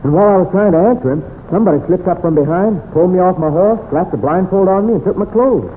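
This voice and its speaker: A narrow, tinny old radio western. an earnest American ranch hand who talks plainly and a little hesitantly. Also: male voice, high voice, lively intonation, fast, in a large hall, a little hiss or hum. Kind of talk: 1940s-50s radio western acting.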